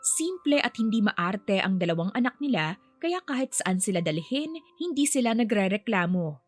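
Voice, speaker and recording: A woman's voice: medium pitch, sing-song, moderate pace, dry recording, faint music behind. A woman giving scripted narration of a story.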